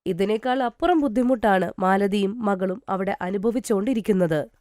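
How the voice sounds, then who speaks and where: A female storyteller narrates a cartoon tale from a script. Her pitch is average for a woman, and the sound is dry without room echo. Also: a little mellow.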